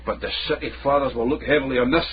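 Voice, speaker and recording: A man's voice: mid-range voice, fairly even intonation, racing, close to an everyday voice. An actor playing a sly, scheming Scottish man in a radio drama, theatrical and given to repeating his phrases. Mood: menacing reproach. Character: sly scheming Scottish man, blackmailer, mock-outraged and wheedling. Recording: muffled, noisy old radio drama.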